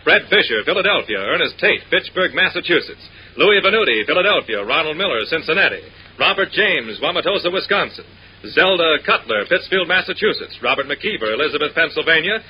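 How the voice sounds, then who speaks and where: A man's voice: on the high side, big pitch swings, fairly quick. A man announcing on a 1940s radio show in a scripted, declamatory way. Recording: dull, noisy 1940s radio recording.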